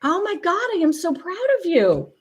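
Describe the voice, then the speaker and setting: A woman in her fifties co-hosting a casual chat, teasing, laughing often and quick to cut in. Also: very high voice, sing-song, highs cut off. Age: 50s.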